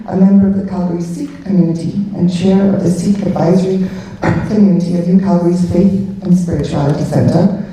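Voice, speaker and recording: A woman's voice: lowish voice, dark tone, slight background noise. A woman moderating a panel at a conference, introducing the speakers in a hesitant way with many fillers. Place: large conference hall. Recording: echoey hall, distant mic.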